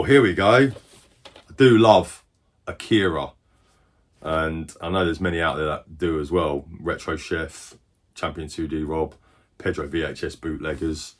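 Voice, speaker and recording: Brief: middle-aged man, deep voice, hoarse, rambling collector show-and-tell. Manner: rambling, chatty, self-correcting. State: losing his voice. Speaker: collector showing games.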